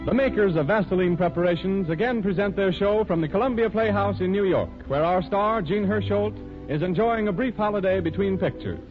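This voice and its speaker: A man's voice: high voice, brisk. A man announcing a 1930s radio show and reading its sponsor copy with formal, polished salesmanship. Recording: muffled 1930s radio broadcast with music.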